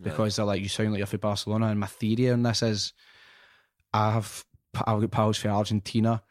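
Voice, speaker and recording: A Scottish man hosting a casual podcast, with a somewhat low voice. He tells stories with animated energy and plenty of swearing. The sound is dry and close. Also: fairly quick, no echo. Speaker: podcast host.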